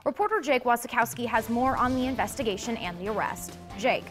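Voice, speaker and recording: A woman's voice: on the high side, brisk, faint music behind. An American woman working as a local TV news anchor, presenting to viewers.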